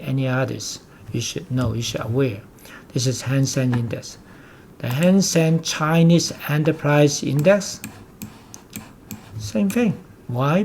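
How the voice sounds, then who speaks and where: A man's voice: mid-range voice, a little mellow, slight background noise. An older man from Hong Kong with a Hong Kong accent, a native Cantonese speaker, giving investment commentary in an emphatic, repetitive, lecturing way. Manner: emphatic and repetitive, with blunt warnings.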